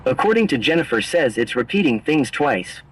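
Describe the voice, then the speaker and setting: A male text-to-speech voice reading out viewer chat messages in a flat synthetic delivery. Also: mid-range voice, normal speed, a little hiss or hum, low-quality recording.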